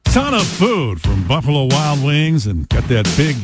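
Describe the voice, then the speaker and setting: A man's voice: medium pitch, wildly swooping pitch, unhurried, over loud music. An American man hosting a rock radio show, talking in casual, conversational DJ patter with playful asides. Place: radio studio. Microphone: close studio broadcast mic.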